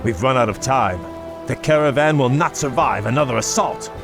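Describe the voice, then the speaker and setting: An actor playing a noble young elven prince in a fantasy game, speaking in a formal, courtly and earnest manner. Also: male voice, mid-range voice, moderate pace, over music, natural voice. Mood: urgent. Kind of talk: dramatic fantasy game voice acting.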